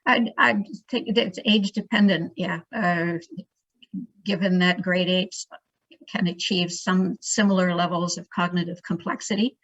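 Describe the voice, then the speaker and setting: A woman with a slightly low voice, heard on a dull, dry recording. She answers as an academic guest in discussion, hesitantly, restarting phrases as she works out her explanation. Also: fairly even intonation, unhurried, fairly bright tone, dry recording. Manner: hesitant, groping for words, self-correcting. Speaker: guest speaker, researcher answering questions. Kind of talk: academic question-and-answer, unscripted.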